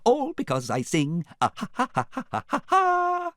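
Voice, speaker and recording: A man's voice: extremely high pitch, huge pitch swings, unhurried, a little mellow, dry recording, clean studio sound, pitched above the performer's own voice, full character voice. A man voicing a prim, haughty young fairy-tale princess in an affected, girlish manner. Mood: sweet singing.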